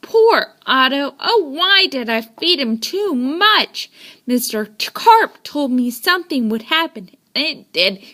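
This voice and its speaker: A woman reads a children's story aloud with high pitch and a slow, unhurried pace. Her delivery is expressive and dramatic, with intonation that swings widely. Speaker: storybook reader.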